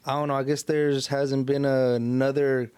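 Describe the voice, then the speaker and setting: A man's voice: medium pitch, level tone, moderate pace, darkish tone, clean tone, no echo. A man co-hosting a podcast in casual, slangy chat with plenty of swearing. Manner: slangy, profane. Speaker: podcast co-host.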